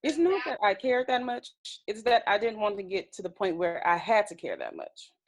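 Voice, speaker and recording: Woman acting blunt young housemate, medium pitch. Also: big pitch swings, normal speed, rough recording. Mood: dry. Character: matter-of-fact young woman housemate laying down terms. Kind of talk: remote table read of a comedy web series script.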